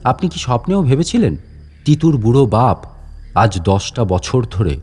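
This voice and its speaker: A man acts out an old male caretaker from a horror story, medium in pitch. He is deferential on the surface, with vengeful menace underneath. He puts sly rhetorical questions to his listener in a dramatic monologue. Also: sing-song, moderate pace, a little mellow, husky. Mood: quietly menacing.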